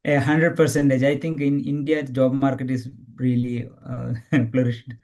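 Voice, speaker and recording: A man's voice: mid-range voice, little pitch movement, normal speed, darkish tone. A man on a webinar call giving short appreciative replies to the speaker. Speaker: webinar attendee.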